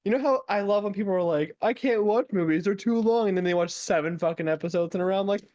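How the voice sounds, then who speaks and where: A man's voice: high-pitched, fast, a little mellow, no echo, clean studio sound. A man with a Chicago accent co-hosting a casual podcast, playful and laughing often. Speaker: podcast co-host. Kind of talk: casual chat.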